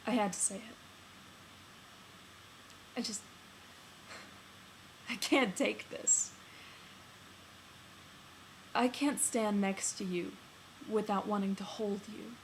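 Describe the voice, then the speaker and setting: A woman plays a lovesick man baring his feelings to a close friend in a dramatic monologue. The delivery is earnest and halting, swelling into emphasis, at a medium pitch. There is some noise in the recording. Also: steady tone, poor audio. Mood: pleading, tender. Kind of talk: dramatic film monologue performed on stage. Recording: noticeable background noise.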